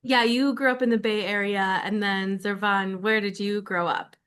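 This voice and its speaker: A woman's voice: medium pitch, steady tone, normal speed, a bit bright, clear, resonant tone. A woman hosting an interview, asking polite questions with some hesitant fillers. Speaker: interview host, social media producer.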